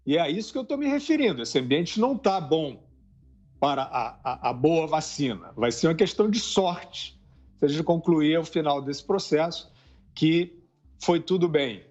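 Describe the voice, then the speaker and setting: A man's voice: on the high side, darkish tone. Man arguing, dull lo-fi audio. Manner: measured, arguing. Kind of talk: argumentative statement.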